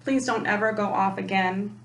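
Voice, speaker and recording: A woman's voice: mid-range voice, fairly even intonation, moderate pace, lightly acted. A woman voicing a loving, caring mother rabbit in a children's storybook, with a tender manner. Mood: worried. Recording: echoey room.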